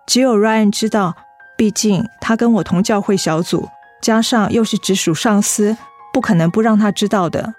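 An actress plays a young office worker with a sweet, soft-spoken manner. She is polite and evasive, mid-pitched, acting in a radio drama over faint music. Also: normal speed, close to an everyday voice. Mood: quietly sincere. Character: sweet young female office worker hiding her illness.

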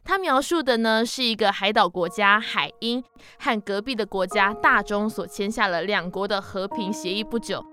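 A woman's voice: medium pitch, moderate pace, pushed and bright. A woman speaking as a podcast guest, giving a prepared-sounding introduction. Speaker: podcast guest, audio post-producer.

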